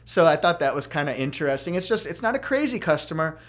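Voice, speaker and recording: A man's voice: fairly high pitch, big pitch swings, quick pace. A middle-aged man with a young-sounding voice, hosting a podcast and telling rambling stories full of fillers and restarts. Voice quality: youthful-sounding voice. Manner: chatty storyteller with many fillers and restarts. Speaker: podcast host. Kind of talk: casual chat.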